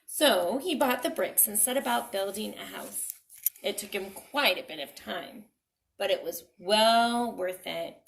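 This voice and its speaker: A woman's voice: medium pitch, measured pace, creaky, a bit bright, a little hiss or hum. A woman reading a children's story aloud with dramatic expression, speaking directly to young listeners.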